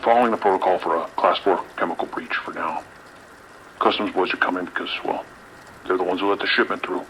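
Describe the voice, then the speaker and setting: Man acting as very deep, commanding police lieutenant. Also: a bit bright, rough recording. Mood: decisive. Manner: brisk, authoritative, dismissive. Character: male police lieutenant, commanding, skeptical, decisive superior. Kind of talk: audio drama acting. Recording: rain and radio noise behind.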